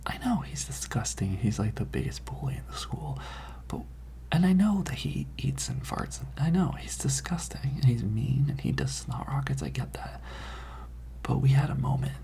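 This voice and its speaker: Adult doing a high school gossip asmr thing, all whispery n crackly, dramatic, rambling like it's a big secret. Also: big pitch swings, normal speed. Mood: sheepish, confessional. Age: teenage character. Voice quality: breathy whisper with creaky edges. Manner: conspiratorial, rambling, hushed. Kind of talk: ASMR roleplay.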